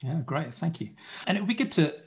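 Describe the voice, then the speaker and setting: Fast-talking male interview host. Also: a little high, very animated. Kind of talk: interview questions.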